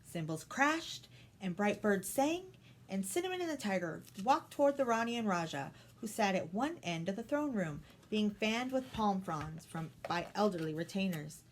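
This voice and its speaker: A woman reads a children's story as a storyteller. Her voice is medium in pitch and her delivery is expressive. The recording carries faint background noise. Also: moderate pace.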